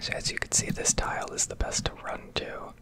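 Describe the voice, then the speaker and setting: Whispering woman, gaming ASMR. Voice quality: whispered, breathy. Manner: casual, rambling, hesitant pauses. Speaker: video creator, game streamer.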